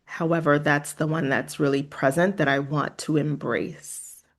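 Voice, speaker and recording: A woman's voice: sits low, steady tone, a little slow. A woman from Haiti, a guest on a podcast, speaking in an earnest, reflective way and laughing easily. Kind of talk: reflective conversation.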